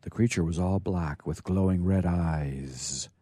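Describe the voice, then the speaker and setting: A man hosting a radio show, reading stories aloud in an informal, halting but theatrical way. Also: low voice, mellow, dry recording. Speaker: radio show host and storyteller.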